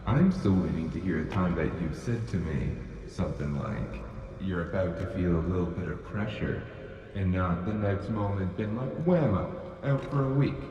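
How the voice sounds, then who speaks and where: A man's voice: sits low, normal speed, dull audio, a crowd in the background, close to an everyday voice. A man voicing a wary, streetwise young male magic apprentice in an audio drama, casual and sarcastic. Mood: skeptical. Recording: roomy distant sound.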